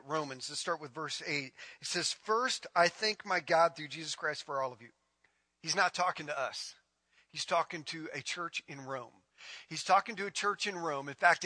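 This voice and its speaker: A man's voice: on the high side, sharp tone, no room sound. A man preaching a sermon, emphatic and repetitive as he drives each point home. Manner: emphatic preaching, repeating phrases. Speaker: pastor.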